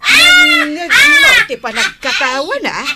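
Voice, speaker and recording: An actress with an Ilocano Filipino accent playing a feisty, jealous village wife in a radio comedy, emphatic and theatrical. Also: female voice, extremely high pitch, wildly swooping pitch, slow, raised voice, heavy background noise, heavily acted. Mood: teasing, riled. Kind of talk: broadcast radio comedy drama acting.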